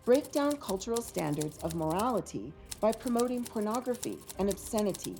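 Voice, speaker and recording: Mellow, expressive female narrator. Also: medium pitch, brisk, soft background music. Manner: declarative, list-reading. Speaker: documentary narrator. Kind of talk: scripted narration.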